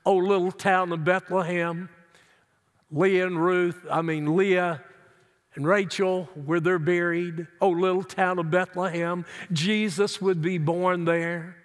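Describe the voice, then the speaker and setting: A man's voice: high-pitched, fairly even intonation, slow pace. A middle-aged male pastor preaching an earnest, emphatic sermon from his seat in a large church sanctuary. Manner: earnest, emphatic preaching with wide hand gestures. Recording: long church hall reverb.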